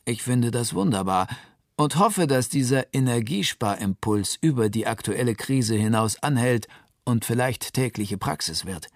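A man's voice: mid-range voice, expressive, measured pace, no room sound, studio quality. A man voicing a thoughtful, optimistic eyewitness in a scripted documentary radio play. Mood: reflective. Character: thoughtful male eyewitness in Japan, self-declared optimist.

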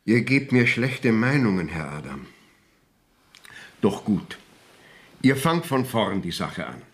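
An actor playing a stern, educated court inspector in a radio play, speaking formally, precisely and impatiently. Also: male voice, on the low side, sing-song, takes it slowly, fairly bright tone, heavily acted. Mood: stern, disapproving.

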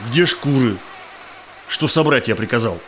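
Menacing Cossack bully, animated delivery. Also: male voice, medium pitch, a little slow, slight background noise. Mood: menacing, demanding. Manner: threatening, scheming, speaks in rhymed verse. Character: greedy, menacing Cossack tax collector, cynical bully. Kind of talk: theatrical audio drama acting.

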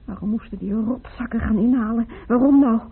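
An actress playing a wistful, fragile young woman in grief, reminiscing haltingly in a Dutch radio play. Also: on the high side, breathy edge. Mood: tearful, bitter. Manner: halting, reminiscing, repeats herself. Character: grieving young woman, Maria, wistful, fragile, tearful, in love. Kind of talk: Dutch radio play acting, intimate monologue. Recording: muffled, phone-like old radio recording.

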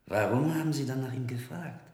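An actor playing a suspicious, mocking police inspector who speaks tersely in a radio crime drama. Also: male voice, mid-range voice, a little fast, darkish tone, echoey room, natural voice. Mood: needling. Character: suspicious, needling male police inspector, gruffly disdainful.

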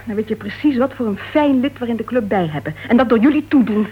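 A woman's voice: fairly high pitch, fast, breathy edge. An actress playing a bitter, guarded woman with a painful past in a Dutch radio play, speaking in a terse, weary, restrained manner. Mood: agitated, bitter. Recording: dull, muffled old radio recording.

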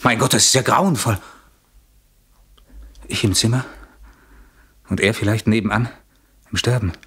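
An actor playing a nervous, evasive gentleman under suspicion in a German radio crime drama, defensive and hesitant as he protests his innocence. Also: lowish voice, a little slow, husky, full character voice. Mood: rattled, defensive.

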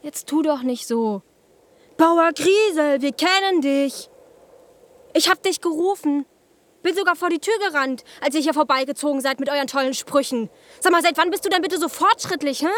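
A hot-tempered young woman in a radio play. Her voice sits high and her delivery is blunt and colloquial, with plenty of scorn and sarcasm. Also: moderate pace, a bit bright. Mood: mocking, scornful. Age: young adult. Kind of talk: radio drama dialogue.

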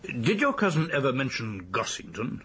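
A man's voice: medium pitch, big pitch swings, normal speed, fairly bright tone, breathy edge, heavily acted. An actor playing a courteous, methodical English police detective in a radio drama, polite and probing as he questions.

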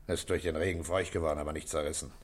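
An actor in a radio play playing a calm, gruff police commissioner who questions tersely and gives orders with authority. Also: male voice, very deep voice, racing. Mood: probing, matter-of-fact.

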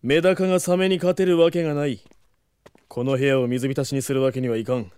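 A man's voice: mid-range voice, flat delivery, brisk, a little mellow, clean tone, no echo, lightly acted. A man playing a stern, proud merman warrior in an audio drama, formal and scornful. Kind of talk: anime-style audio drama acting.

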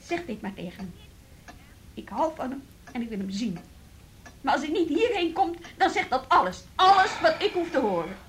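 An actress playing a proud, wounded young woman in a radio drama, speaking haltingly and with indignant emphasis. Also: sits high, sing-song, a bit bright, heavily acted. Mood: hurt, resolute. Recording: noisy radio play recording.

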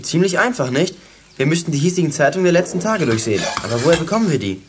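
A fairly high, animated boy's voice, acted by a man, brisk and sure of himself. He is a clever, teasing young detective in a children's audio play, with faint noise behind. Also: natural voice. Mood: planning. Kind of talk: 1980s German children's audio drama acting.

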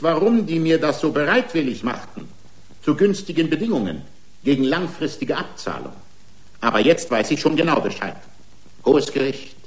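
A radio drama defendant played by a man, mid-pitched and full of grievance. He pleads his own case in formal, long-winded rhetoric, excitable and indignant as he builds his argument. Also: lightly acted. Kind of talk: radio drama acting, courtroom speech.

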